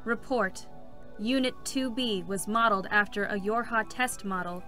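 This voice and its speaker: A woman's voice: mid-range voice, moderate pace, close to an everyday voice. A woman voicing a procedural support robot in a dramatic reading, with formal, clipped delivery. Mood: insistent, procedural. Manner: flat, formal, clipped announcements. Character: cold, clinical female support robot, relentlessly procedural. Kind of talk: dramatic stage reading of a game story. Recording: music behind the voice.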